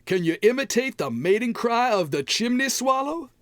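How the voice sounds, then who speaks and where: A man's voice: extremely high pitch, big pitch swings, moderate pace, a bit bright, dry recording, studio quality, full character voice. A man playing a grumpy, exasperated homeowner with a folksy, exaggerated delivery in old-time radio comedy style. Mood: scoffing challenge. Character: grumpy homeowner tidying his cluttered closet, testy.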